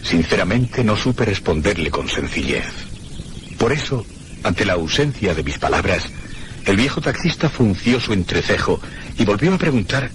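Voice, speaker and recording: Actor as a deep-voiced haunted gentleman narrator. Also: very animated, noisy background. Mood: solemn, mysterious. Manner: solemn, deliberate, theatrical. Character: haunted, solemn one-armed gentleman recounting his mystery. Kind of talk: dramatic first-person radio horror narration. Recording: radio play over clear background music.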